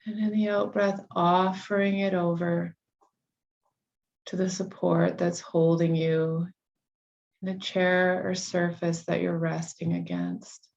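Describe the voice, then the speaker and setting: A woman leading a guided meditation, giving soothing, unhurried instructions with long pauses between phrases. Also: on the low side, gravelly, darkish tone, lo-fi sound, a little hiss or hum.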